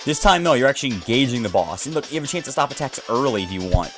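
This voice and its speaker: A man's voice: mid-range voice, sing-song, racing, fairly bright tone, faint music behind. A man giving casual, informal and opinionated let's play commentary as the host of a gaming video.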